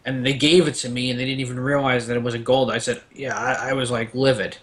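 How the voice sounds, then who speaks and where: A man's voice: mid-range voice, little pitch movement, fast. A man in a casual gaming discussion, giving opinions in a rambling, storytelling way as a panelist.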